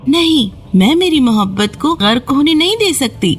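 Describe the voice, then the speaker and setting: A woman's voice: sits high, big pitch swings, pitched above the performer's own voice. An innocent, lovestruck mermaid princess from a children's fairy tale, played by a woman storyteller. She speaks earnestly and dramatically in a lightly raised voice, determined and young, with music underneath. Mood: distressed, determined.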